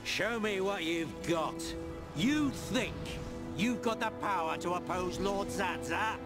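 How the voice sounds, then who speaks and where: Game villain: battle-hardened British older man, unhurried sneer, gruff taunts over loud music. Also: high voice, expressive. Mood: cocky, menacing. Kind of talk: video game cutscene acting. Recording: loud music under the dialogue.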